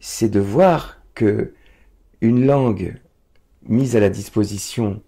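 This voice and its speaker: A man's voice: on the low side, slow, dark tone, husky. A man conducting a conversational interview, explaining ideas expressively with examples.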